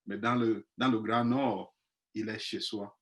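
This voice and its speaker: A man's voice: fairly low pitch, steady tone, unhurried. A man, a missionary priest not speaking his first language, telling of his experience in a webinar on a video call, hesitant with many restarts. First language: not French; speaks five languages. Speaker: missionary priest, panel guest. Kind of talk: personal testimony in a webinar.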